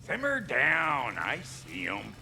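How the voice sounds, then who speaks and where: Gruff, gravelly American dwarf smith. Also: male voice, medium pitch, wildly swooping pitch, slow pace, pushed and bright, soft background music, full character voice. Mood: cocky. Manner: brash, slangy, drawling. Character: gruff, foul-mouthed, cocky dwarf blacksmith, male. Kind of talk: cinematic video game acting.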